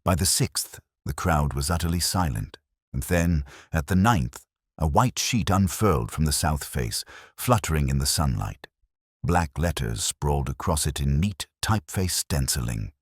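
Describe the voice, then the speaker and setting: Very deep-voiced male story narrator. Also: animated, moderate pace, breathy edge, no echo, clean studio sound. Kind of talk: scripted audio mystery story narration.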